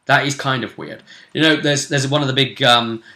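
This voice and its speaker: A man co-hosting a casual recap of a tabletop role-playing game, telling it haltingly with dramatic emphasis. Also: mid-range voice, fairly even intonation, bright, forceful tone.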